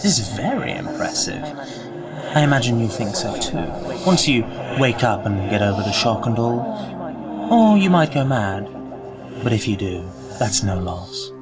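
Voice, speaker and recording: A man's voice: mid-range voice, sing-song, normal speed, loud music behind the voice, lightly acted. An actor playing a sinister male villain who mocks and gloats over a captive in an audio drama. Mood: gloating. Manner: mocking, sinister and theatrical.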